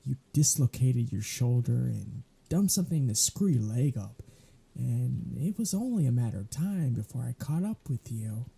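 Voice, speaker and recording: A man's voice: medium pitch, lively intonation, moderate pace, vocal fry, mellow, breathy edge, full character voice. A man playing a sardonic, weary vampire in an ASMR audio roleplay, talking in a flippant, conversational way. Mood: wry.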